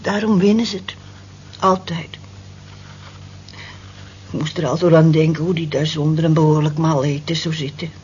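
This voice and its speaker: A woman's voice: deep voice, fairly even intonation, normal speed, pauses often, a little mellow, close to an everyday voice. Actress as a frank older woman, muffled old recording. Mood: wry, reassuring. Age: 50s. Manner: frank, matter-of-fact, motherly. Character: down-to-earth older working woman, nearly sixty, consoling. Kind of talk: radio drama acting. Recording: dull lo-fi old radio recording, hiss.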